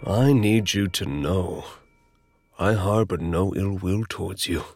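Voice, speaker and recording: A man performs an ominous masked villain in an audio drama. The voice is very low and slow, with portentous, deliberate menace, over faint music. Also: a little mellow, no echo, clean studio sound, exaggerated voice. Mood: calm menace.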